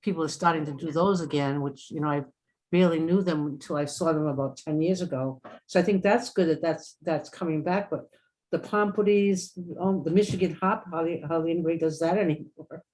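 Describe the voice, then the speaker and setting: A woman's voice: deep voice, brisk, darkish tone, poor audio. A woman musing conversationally as a participant in a casual discussion on a video call. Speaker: participant commenting.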